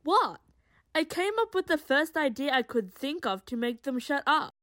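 A woman's voice: sits high, expressive, normal speed, fairly bright tone. A woman playing a brash, sardonic female troublemaker in an audio drama, with a flippant way of talking.

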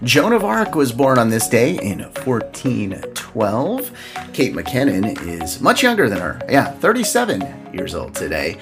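A man's voice: medium pitch, wildly swooping pitch, measured pace, gravelly, over music. An American man hosting a podcast casually, with jokey asides in lightly scripted segments. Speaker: podcast host.

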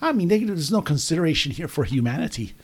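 A man's voice: on the high side, big pitch swings, quick pace, husky. A man co-hosting a casual comedy podcast, joking and laughing often as he chats. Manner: jokey, laughs often, keeps addressing his co-host by name. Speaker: podcast co-host.

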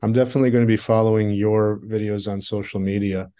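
A man hosting an interview, asking questions in a measured way and restating his guest's answers to make them clear. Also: on the low side, fairly even intonation, warm tone. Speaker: interviewer host. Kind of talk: conversational interview.

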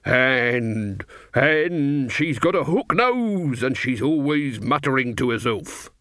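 A man reading a story, voicing a boastful, slow-witted burly woodcutter who keeps adding "right?". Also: medium pitch, very animated, fairly bright tone, no room sound, clean studio sound. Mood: gossipy. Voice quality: slow, blunt, tag-questions with "right?". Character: boastful burly male woodcutter, dim and gossipy.

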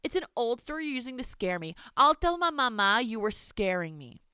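A woman's voice: a little high, big pitch swings, brisk, pushed and bright, clean tone, no echo, clean studio sound, voice pushed up. A woman voicing a spoiled, sulky little girl who whines and talks back. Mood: whiny, sulky. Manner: whining, sulky.

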